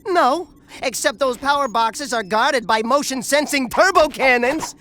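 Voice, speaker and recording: A man's voice: very high-pitched, a little slow, bright, forceful tone, slight background noise, full character voice. A man voicing a jittery, geeky technician in a cartoonish video game, chattering nervously. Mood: nervous, explanatory.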